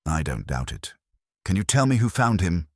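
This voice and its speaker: A man's voice: low-pitched, very animated, moderate pace, no echo, studio quality. A man voicing a cheeky, breezy private investigator in an audiobook mystery. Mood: breezy, probing. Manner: breezy, wry. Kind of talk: audiobook character voice.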